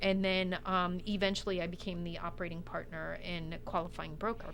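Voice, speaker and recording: A woman speaking as an interview guest, telling stories in an animated, informal, self-deprecating conversational way. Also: fairly low pitch, fairly quick, vocal fry, slight background noise. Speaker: guest, real estate executive.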